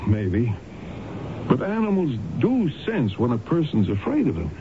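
An actor playing a matter-of-fact doctor in a 1970s radio drama. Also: male voice, mid-range voice, wildly swooping pitch, a little slow. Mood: earnest, reporting. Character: matter-of-fact male doctor, colleague explaining an incident. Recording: dull, noisy 1970s radio broadcast recording.

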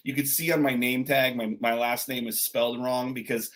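A man who is a guest author in a casual interview, self-deprecating and quick to laugh, often repeating his words. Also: mid-range voice, fairly even intonation, fairly bright tone.

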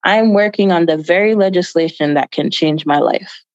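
A woman's voice: lowish voice, normal speed, darkish tone, no echo, lo-fi sound. A woman from Jamaica giving earnest, emphatic answers as an activist guest in an interview, repeating phrases for stress.

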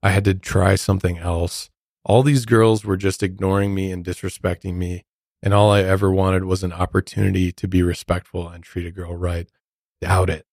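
Low-voiced American man, podcast host. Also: flat delivery, moderate pace, creaky, a little mellow, dry recording, studio quality. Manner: casual, conversational, disapproving. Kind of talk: true crime podcast narration and commentary.

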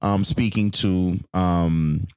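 A man with a low, deep voice talks loosely on a podcast. He wanders through his points, stacking fillers and false starts as he chats with his co-host. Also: steady tone, slow, darkish tone, clear, resonant tone. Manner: rambling, loose, full of fillers and restarts. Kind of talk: casual podcast chat.

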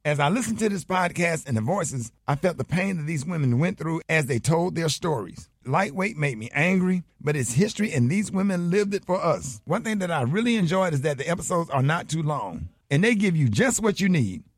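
A man's voice: on the high side, animated, brisk, few pauses, slightly rough, no room sound, studio quality. A man reading a scripted podcast promo as an announcer. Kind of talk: scripted ad read.

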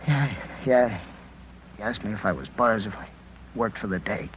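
A man playing a battered, shaken newspaper reporter in 1950s radio drama style, weary and halting. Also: lowish voice, a bit bright, slightly rough. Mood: weak, halting. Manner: halting, weary, broken phrases. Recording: noisy vintage 1950s radio recording.

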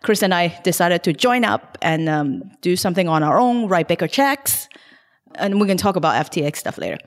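Lady on a podcast, fairly low voice, brisk career story, echoey long-tail room. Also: expressive. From Hong Kong. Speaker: guest investor. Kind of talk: casual podcast interview.

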